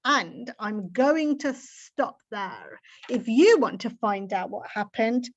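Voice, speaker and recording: A woman reading a children's book aloud in an expressive storytelling style, on a slightly dull recording. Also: fairly high pitch, slow pace.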